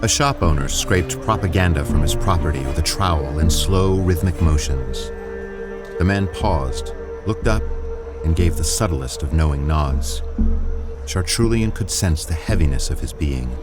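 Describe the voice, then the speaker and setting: A man reading scripted audio drama narration in a measured, descriptive way. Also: very deep voice, lively intonation. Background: music.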